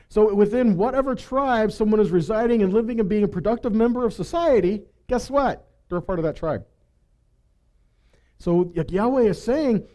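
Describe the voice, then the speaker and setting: A man delivers a conversational sermon in a high voice with a soft, mellow tone. His pitch moves around a great deal as he reads scripture, repeats key phrases for emphasis and puts rhetorical questions to his listeners. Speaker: Bible teacher.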